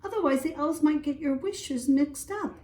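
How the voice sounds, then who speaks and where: A woman's voice: high-pitched, moderate pace, dark tone, pitched above the performer's own voice, lightly acted. Woman as a coaxing storybook big brother, roomy sound. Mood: persuasive. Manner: coaxing, explaining. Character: patient, persuasive big brother, a young boy. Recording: roomy echo, distant from mic.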